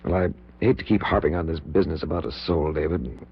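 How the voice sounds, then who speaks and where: An actor playing a scientist's uneasy, God-fearing colleague in a 1940s radio horror drama, hesitant and grave. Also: male voice, a bass voice, steady tone, quick pace. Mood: troubled, hesitant. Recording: muffled, noisy 1940s radio recording with hum.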